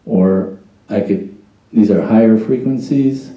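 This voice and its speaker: A man's voice: fairly low pitch, slow pace, dark tone, roomy sound, recorded from a distance, dull audio. A man giving patient, step-by-step hands-on training on a church mixing desk, testing a mic as he goes. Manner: patient, explains step by step, tests the mic with "check, one, two". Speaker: sound board trainer. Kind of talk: hands-on technical instruction. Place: church sound booth, indoors.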